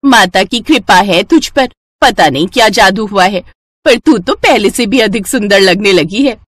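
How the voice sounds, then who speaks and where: A woman's voice: mid-range voice, expressive, a little slow, no room sound, studio quality. Woman as an exclaiming, pious village aunt. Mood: amazed. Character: amazed, pious village aunt, warmly admiring.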